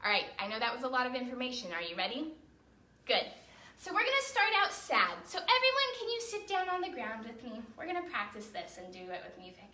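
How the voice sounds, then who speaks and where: A woman with a high, very lively voice leads a children's dance lesson. She is encouraging and explains each thing simply, often checking in with her young pupils. The sound is roomy and echoing, picked up at a distance from the mic. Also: brisk. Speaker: children's ballet teacher and storytime host. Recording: roomy echo, distant mic, faint noise.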